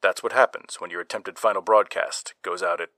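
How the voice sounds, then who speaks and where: A man narrating the opening of a horror audio drama in a measured, ominous tone. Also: low-pitched, fairly even intonation, heavy background noise. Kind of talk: scripted narration.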